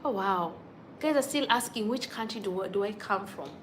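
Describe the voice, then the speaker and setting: A woman's voice: medium pitch, lively intonation, normal speed. Young woman, livestream chat, talks to a phone, answers comments, faint room noise. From Kenya. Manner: chatty, answers viewer comments as they come. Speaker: YouTube vlogger on a livestream. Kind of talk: casual livestream chat with viewers.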